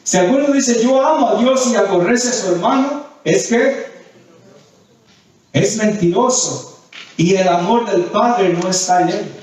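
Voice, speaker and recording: A man's voice: sits high, measured pace, a little mellow. A man preaching a sermon in a didactic way, reading scripture, questioning the congregation and repeating phrases for emphasis. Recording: very echoey hall, distant mic.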